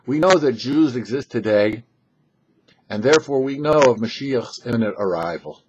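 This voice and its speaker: A man, a rabbi hosting a short religious commentary, telling and explaining scripture with emphatic, halting phrasing and pauses. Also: mid-range voice, expressive, a little hiss or hum. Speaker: rabbi and host of a weekly Torah talk show. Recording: dull, lo-fi sound.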